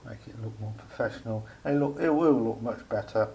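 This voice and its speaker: Creaky-voiced man, halting hands-on demonstration. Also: on the low side, dark tone. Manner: halting, pausing mid-phrase. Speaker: hobbyist showing a homemade radio aerial project. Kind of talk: casual unscripted hands-on demonstration. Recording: faint background noise, amateur camera recording.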